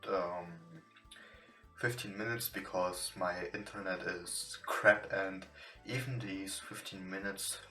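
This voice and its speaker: A man who is not a native English speaker, reading a visual novel aloud with casual commentary in a somewhat halting way. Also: low-pitched, fairly even intonation, bright, forceful tone. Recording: roomy, echoing home recording. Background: game music.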